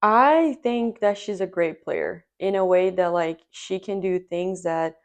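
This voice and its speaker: A young woman talks as a podcast guest. Her voice sits a little low. She speaks softly and casually, trailing off into "like" and "um". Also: measured pace. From Brazil. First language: Portuguese. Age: young adult. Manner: soft-spoken, often trailing off with "like" and "um". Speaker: guest, college basketball player. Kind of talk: casual interview talk.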